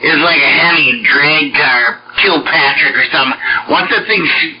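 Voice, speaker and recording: A man's voice: on the high side, moderate pace, dull audio. A man with an American Midwestern accent having a casual chat as a hobbyist on two-way radio. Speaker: amateur radio net participant. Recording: noisy band-limited shortwave radio transmission.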